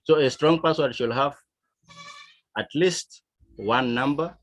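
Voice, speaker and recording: A man from South Sudan with a South Sudanese accent, teaching a lesson in a deliberate, emphatic manner. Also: medium pitch. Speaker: instructor. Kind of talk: digital literacy lesson, explaining to learners.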